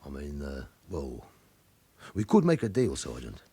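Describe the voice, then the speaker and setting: An actor playing a shifty older club owner, stammering and protesting in a radio crime drama. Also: male voice, extremely low pitch, huge pitch swings, unhurried, dark tone, breathy edge, full character voice. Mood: nervous pleading. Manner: stammering, pleading.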